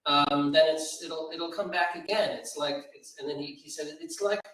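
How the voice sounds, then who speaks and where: Man, literary event speaker. Thoughtful, halting, digressive; very echoey room, slightly distant mic. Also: a little high, sharp tone. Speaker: poetry editor and event speaker. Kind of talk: informal spoken commentary at a literary event. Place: large reverberant room at a live event.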